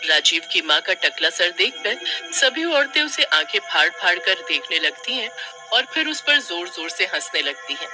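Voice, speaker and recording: A man's voice: sits high, very animated, normal speed. A man narrating a scripted cartoon horror story as a storyteller. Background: music.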